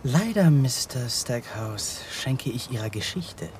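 An actor playing a needling, suspicious police detective in a radio drama, mocking, clipped and insinuating. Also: male voice, mid-range voice, very animated, slow pace, a little mellow, slight background noise. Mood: sardonic. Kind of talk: 1980s German radio drama acting.

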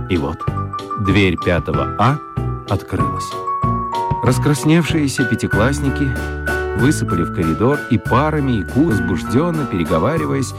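A man narrating a children's radio play as an expressive storyteller, with deliberate dramatic pauses. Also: fairly low pitch. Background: prominent music.

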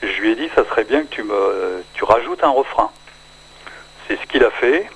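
An older man, a singer-songwriter, talking in a relaxed radio interview, hesitant and full of fillers. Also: on the low side, breathy edge, low-quality recording. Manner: hesitant, many pauses and fillers.

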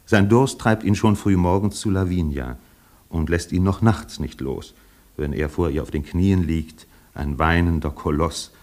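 Very deep-voiced, wry storyteller. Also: male voice, measured pace, darkish tone. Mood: wry narration. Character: observant storyteller describing island townsfolk. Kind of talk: scripted radio play narration.

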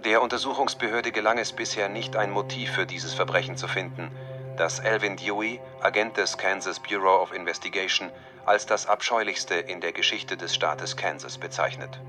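A man with a very deep voice delivers a formal, factual scripted report. Music plays clearly behind him. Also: steady tone, moderate pace. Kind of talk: scripted news-report narration.

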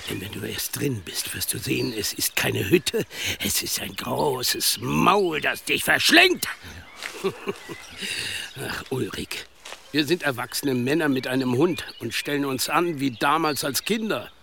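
Gravelly, wary village headman. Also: medium pitch, animated, measured pace, background noise. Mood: uneasy. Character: grown village headman, a father, wary but reasonable. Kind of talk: German radio drama acting.

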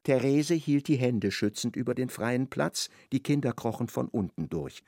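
A man narrating a literary radio play in an expressive, ironic storytelling manner. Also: mid-range voice, moderate pace, creaky, no echo, studio quality.

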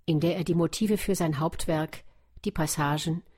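Woman, deep register, scripted documentary narration, radio feature narrator. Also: fairly even intonation, moderate pace, a little mellow, a little hiss or hum.

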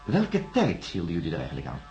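An actor playing a calm, patient male psychiatrist in a science fiction radio drama, speaking formally and deliberately. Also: low-pitched, exaggerated voice. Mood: calm, probing. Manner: measured, formal, deliberate phrasing with pauses. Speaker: doctor. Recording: dull lo-fi radio recording, music.

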